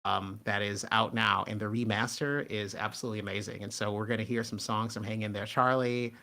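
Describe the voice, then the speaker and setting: A man hosting casual live stream commentary, talking in a halting, rambling way and often cutting himself off. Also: fairly low pitch, steady tone, gravelly, sharp tone. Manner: halting, rambling, self-interrupting. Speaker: host and show's writer.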